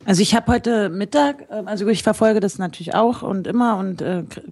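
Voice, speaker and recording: A woman's voice: medium pitch, brisk, darkish tone, husky. A woman talking in a casual discussion, rambling and searching for words, frank about her thoughts.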